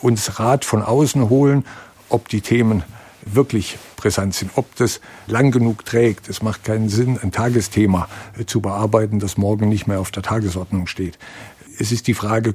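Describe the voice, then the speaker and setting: A man's voice: fairly low pitch, fairly even intonation, normal speed, a little mellow, husky, no echo. A man speaking as a foundation executive in a reflective interview, explaining things matter-of-factly.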